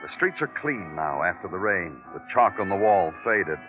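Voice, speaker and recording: An actor playing a dry, world-weary police detective, terse and poetic in a classic radio noir style. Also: male voice, sits low, sing-song, normal speed. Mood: brooding narration. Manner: dry, terse, hard-boiled, poetic when narrating. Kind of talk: 1950s radio noir acting and narration. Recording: tinny vintage radio recording with music.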